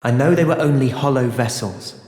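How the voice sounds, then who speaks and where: An actor playing an earnest, thoughtful young male hero in a fantasy video game, speaking sincerely and clearly. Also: medium pitch, moderate pace, a little mellow, dry recording, close to an everyday voice. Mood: solemn, reflective. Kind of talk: video game cutscene voice acting.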